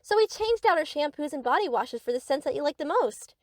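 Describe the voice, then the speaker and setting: A woman's voice: sits high, animated, fairly quick, no room sound, clean studio sound. A woman playing a sweet, eager, obsessive young yandere best friend in an anime-style audio roleplay, gushing and theatrical.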